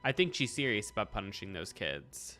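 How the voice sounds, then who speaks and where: A man's voice: lowish voice, sing-song, brisk, bright, forceful tone. Man muttering casual game reactions. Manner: muttering, incredulous asides, repeats himself. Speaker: reaction video host. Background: faint game music.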